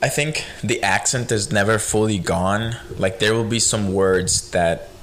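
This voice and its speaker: A young man hosting a podcast, a native Spanish speaker with a light Spanish accent, chatting in a relaxed, rambling way with lots of fillers. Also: on the low side, measured pace. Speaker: podcast host. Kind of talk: casual chat.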